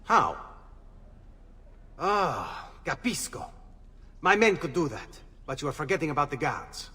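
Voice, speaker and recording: An actor playing a practical, urgent leader of thieves with an Italian accent in a video game. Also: male voice, a little high, very animated, a little slow, sharp tone, slightly rough, full character voice. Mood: practical, resolute. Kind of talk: dramatic video game acting. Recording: long reverb, music underneath.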